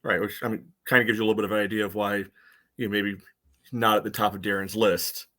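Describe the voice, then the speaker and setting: A man's voice: lowish voice, flat delivery, fast, pushed and bright. An American man co-hosting a soccer podcast, talking through a match in casual, conversational analysis.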